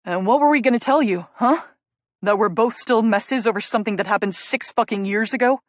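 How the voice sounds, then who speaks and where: Woman voicing young male hockey player, anguished, shouting, sobbing, swearing, dry close sound. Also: mid-range voice, unhurried, no echo, lightly acted. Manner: raw, shouting through sobs, swearing.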